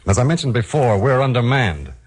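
An actor playing an earnest, serious American border officer, speaking deliberately in a 1950s radio drama. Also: male voice, medium pitch, very animated, breathy edge. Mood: grave, explaining. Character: earnest, grave male Border Patrol officer.

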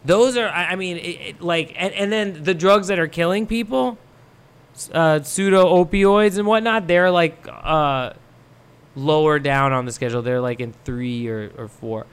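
A young man who grew up in Thailand, talking as a guest in a casual chat, rambling and relaxed with many fillers. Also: a little high, normal speed, smooth. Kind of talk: casual podcast conversation.

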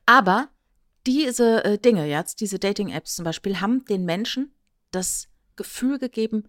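Eine Frau mit deutschem Akzent plaudert als Podcast-Co-Moderatorin, erklärt erzählend, spielt Zitate nach und sucht mit kleinen Nachfragen Zustimmung. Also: female voice, medium pitch, animated, unhurried, a bit bright, no echo, studio quality. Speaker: podcast co-host. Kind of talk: casual podcast chat, explanatory retelling.

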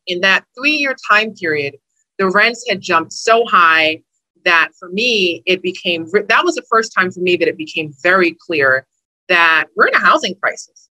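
Brooklyn woman in her thirties, very bright tone, dry close sound, chatty interview guest. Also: lowish voice, dry recording, poor audio. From Brooklyn, New York. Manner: friendly and chatty, with easy laughs. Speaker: guest, housing attorney. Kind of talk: interview conversation.

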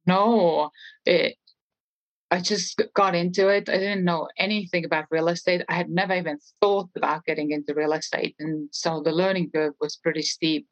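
Woman, forties, Estonian accent, low voice, earnest, restarts sentences, podcast guest. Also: steady tone, moderate pace, lo-fi sound. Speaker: guest, real estate trainer. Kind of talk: podcast interview conversation.

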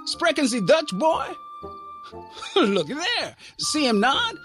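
A man's voice: very high voice, huge pitch swings, takes it slowly, music in the background, pitched above the performer's own voice, exaggerated voice. A man doing mocking, rowdy soldiers. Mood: teasing. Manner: mocking, amused drawl. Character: rowdy male soldiers teasing a boy.

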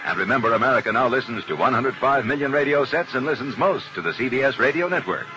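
A man's voice: medium pitch, animated, quick pace, dull audio, music in the background. A man reading an earnest public service appeal in 1950s radio style. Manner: earnest, persuasive. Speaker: commercial announcer.

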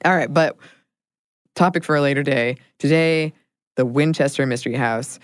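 A woman's voice: low voice, moderate pace, clear, resonant tone, no room sound, studio quality. An American woman chatting casually as a podcast host. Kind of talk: casual co-hosted podcast chat.

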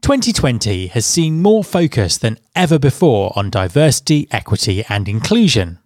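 A man's voice: medium pitch, sing-song, moderate pace, darkish tone, no room sound, studio quality. A man hosting a podcast, introducing his guest and asking questions in an upbeat way. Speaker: podcast host.

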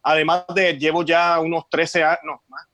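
A man's voice: fairly high pitch, little pitch movement, moderate pace, sharp tone, no room sound. A Puerto Rican man in his forties, with a Puerto Rican accent, talking earnestly as a guest and telling his story with many fillers. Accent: Puerto Rican Spanish. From Puerto Rico. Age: 40s. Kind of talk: casual conversation.

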